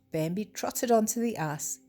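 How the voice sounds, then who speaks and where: A woman's voice: medium pitch, mellow. An older woman storyteller reading a children's story expressively, with chatty asides that explain things simply.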